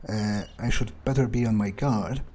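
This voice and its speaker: A man plays a scornful, self-assured vampire in a video game, speaking his thoughts aloud. His voice is on the low side and very mellow, with musing pauses and muttered asides. Some noise sits behind the recording. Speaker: gameplay video player. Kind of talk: in-character game playthrough, thinking aloud. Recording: noticeable background noise.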